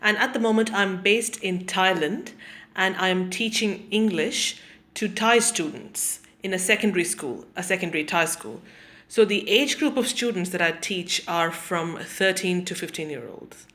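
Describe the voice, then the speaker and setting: A woman, introducing herself as a teacher in a measured way, often pausing on "um" and listing things. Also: lowish voice, a bit bright. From Australia. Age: 30s. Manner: measured, with frequent ums and listing. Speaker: English teacher. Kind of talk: self-introduction to camera.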